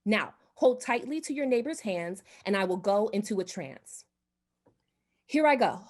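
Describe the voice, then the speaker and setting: A woman's voice: mid-range voice, lively intonation, measured pace, a little mellow. A woman playing a gushing, self-important society hostess and would-be medium in a radio drama, speaking in a grand, theatrical manner. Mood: pompous, theatrical.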